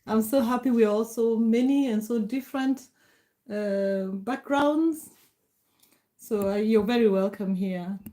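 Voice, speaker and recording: Brief: middle-aged Kenyan-accented woman, Kikuyu native, livestream host; warm, grateful chat; mellow tone. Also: fairly high pitch, unhurried. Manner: warm, grateful, greets viewers by name. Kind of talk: casual live chat reading comments.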